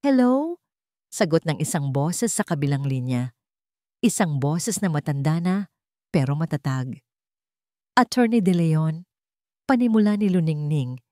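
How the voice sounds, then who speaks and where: A woman with a low, mellow voice tells a dramatic story in a steady, deliberate way. She is recorded close to the mic, dry, with no room sound. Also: no echo. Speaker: narrator. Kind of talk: scripted story narration.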